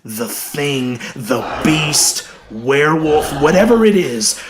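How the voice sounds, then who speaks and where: A man reading a horror story as dramatic, scripted narration, deliberate and suspenseful, dwelling on his words. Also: fairly high pitch, big pitch swings, noisy background.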